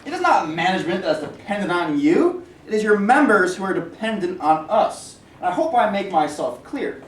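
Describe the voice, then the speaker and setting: A man's voice: high-pitched, big pitch swings, moderate pace. A man playing a smug, accusing junior factory executive, stern and condescending, in a staged play reading. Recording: echoey room, distant mic, faint noise.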